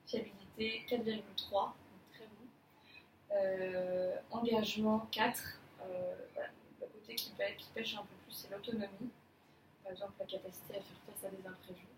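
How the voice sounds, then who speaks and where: A woman's voice: mid-range voice, steady tone, moderate pace, natural voice. A woman playing a courteous manager in a mock job appraisal, speaking formally but with frequent fillers. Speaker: manager in an appraisal role-play. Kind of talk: amateur role-play of a job interview. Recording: echoey room, mic far off, clear noise.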